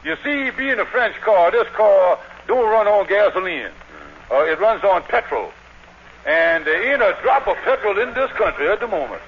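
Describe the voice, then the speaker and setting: Slick, high-voiced comic swindler, 1940s radio style, blustery sales patter, slightly noisy vintage sound. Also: male voice, very animated, normal speed. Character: scheming fast-talking lodge con man. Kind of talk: 1940s radio comedy acting. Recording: dull, noisy old radio recording.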